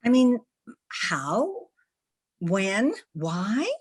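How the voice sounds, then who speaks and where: A proud young newspaperwoman played by a woman in old-time radio style. Her delivery is slow and very animated, hesitant and emphatic as she covers her feelings with exasperation. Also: medium pitch, husky, heavily acted. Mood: flustered.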